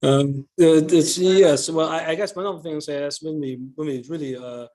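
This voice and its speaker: Halting middle-aged man. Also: on the high side, fairly even intonation, warm tone. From China. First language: Chinese. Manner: halting, many pauses and restarts. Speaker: guest speaker. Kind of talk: answering audience questions.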